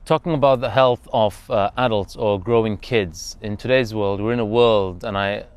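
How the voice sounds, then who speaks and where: Guy from zambia doing a casual interview, lowish smooth voice, very agreeable. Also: moderate pace, no room sound. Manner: agreeable, affirming listener.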